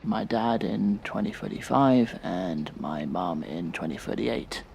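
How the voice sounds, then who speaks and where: An actor playing a weary, resentful man of about thirty in an audio drama, speaking in a bitter, sardonic and deliberate way. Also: fairly low pitch, lively intonation, creaky, a little mellow, a little hiss or hum. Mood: wry, reflective. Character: weary, resentful thirty-year-old man confiding in a therapist. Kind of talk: audio drama acting, monologue.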